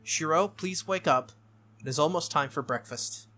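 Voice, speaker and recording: A man's voice: fairly high pitch, normal speed, sharp tone, faint music behind. A man voicing a formal young knight girl. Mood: formal, firm. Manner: polite, formal diction. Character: formal young female knight, stern and dutiful. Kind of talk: reading a visual novel aloud.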